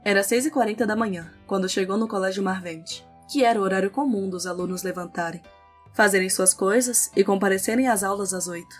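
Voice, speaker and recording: A woman's voice: medium pitch, fairly quick. A woman with a Brazilian accent reading scripted narration for an audio novel. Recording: music under the voice.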